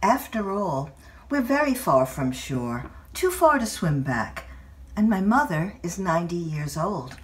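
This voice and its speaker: An older woman reads a literary personal essay aloud in a measured, expressive storytelling manner. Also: fairly low pitch, recorded from a distance. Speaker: writer reading own essay.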